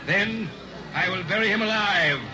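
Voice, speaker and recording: An actor playing a sinister carnival hypnotist. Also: male voice, high-pitched, normal speed, heavy background noise, lightly acted. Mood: showman pitch. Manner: theatrical barker, menacing. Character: sinister carnival hypnotist professor, smooth showman. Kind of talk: old-time radio drama acting. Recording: dull old radio recording with music.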